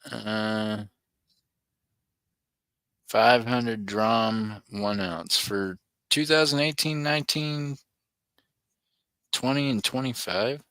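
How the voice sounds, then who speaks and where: Bright, chatty male auction host. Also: mid-range voice, very slow, pauses often, smooth, no room sound. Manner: chatty, joking, talks to viewers by name. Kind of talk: casual livestream chat.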